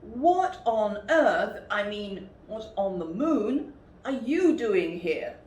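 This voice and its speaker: A woman's voice: medium pitch, sing-song, takes it slowly, darkish tone, recorded from a distance, pitched above the performer's own voice. A woman acts out a rich male hippo astronaut from a storybook. He is haughty, rude and bossy, swooping emphatically through his words in a roomy, echoing recording. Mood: indignant. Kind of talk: storybook character voice. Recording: roomy echo, faint background noise.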